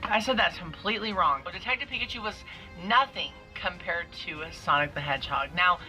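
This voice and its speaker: Bright, gushing young movie reviewer. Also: medium pitch, fairly quick, soft background music, rough recording. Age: young adult. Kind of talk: casual, enthusiastic review vlog.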